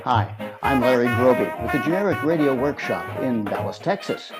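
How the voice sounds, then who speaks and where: A man's voice: medium pitch, expressive, fairly quick, over loud music. A man hosting a conversational interview as the interviewer.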